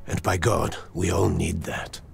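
A man playing a stern, cautious middle-aged father in a video game, gravelly and husky, grave and commanding. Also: low voice, little pitch movement, measured pace, soft background music, heavily acted. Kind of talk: cinematic video game acting.

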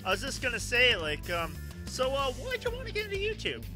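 A man's voice: extremely high pitch, wildly swooping pitch, a little fast, over music. A man hosting a casual gaming interview, rambling, repeating phrases and given to exclaiming. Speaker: podcast talk show host. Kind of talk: casual excitable interview chat.

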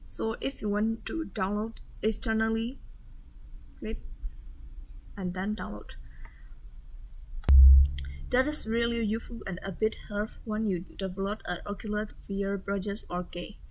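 Woman, software tutorial instructor, plain step-by-step delivery, desktop mic. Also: medium pitch, steady tone, a little slow, pauses often, poor audio. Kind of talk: screen-recorded step-by-step tutorial.

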